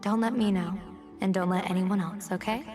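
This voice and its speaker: A woman's voice: mid-range voice, fairly even intonation, moderate pace, close to an everyday voice. A woman acting a poised, playful young general and fortune-teller in a video game, with a teasing, theatrical delivery. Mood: coy, teasing. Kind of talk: acted game dialogue. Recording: music behind the voice. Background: game music.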